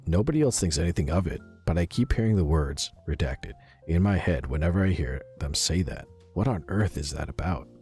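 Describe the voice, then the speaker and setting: A man's voice: low voice, expressive, fairly quick, hardly any pauses, warm tone. A man playing an irritable, wary field operative reading out his personal log. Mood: uneasy. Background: music.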